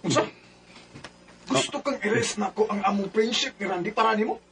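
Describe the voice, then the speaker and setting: Far from mic, noisy background, defensive young secret lover, Cebuano accent, agitated, radio drama. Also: male voice, high voice, a little fast. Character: defensive young man caught in a secret affair. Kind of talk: Filipino radio drama acting. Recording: distant mic, clear background noise.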